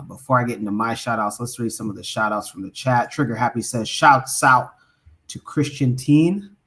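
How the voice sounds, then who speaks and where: A man's voice: medium pitch, a bit bright. Enthusiastic man signing off. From Omaha, Nebraska. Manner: enthusiastic, hesitant with many fillers. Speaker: podcast co-host. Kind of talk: closing remarks and shout-outs.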